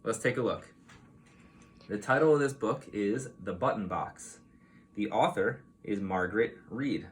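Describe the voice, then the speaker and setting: Man reading to children with lively, swooping intonation. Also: on the low side, a little slow. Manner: expressive, explanatory, addressing children with questions. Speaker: teacher reading a picture book aloud to young children. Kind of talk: read-aloud storytelling for young children.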